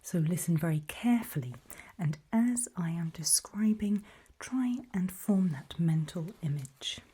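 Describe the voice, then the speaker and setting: A woman whispers an ASMR roleplay with a very breathy, airy voice. Her delivery is slow and deliberate, broken into short, hushed phrases. The tone is low and soft, as she guides the listener step by step. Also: lively intonation.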